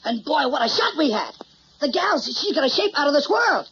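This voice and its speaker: An actor playing a brash, leering tabloid photographer in an old crime drama, pushy and slangy. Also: male voice, extremely high pitch, sing-song, brisk, bright, forceful tone, full character voice. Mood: indignant. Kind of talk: mid-century American crime drama acting. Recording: muffled, noisy old drama soundtrack.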